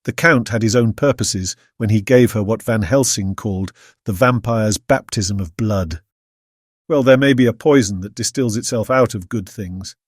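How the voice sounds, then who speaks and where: An actor playing a thoughtful Victorian gentleman doctor, narrating his diary in a measured, formal, reflective manner for an audiobook drama. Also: lowish voice, no room sound, studio quality, lightly acted. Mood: troubled, pondering.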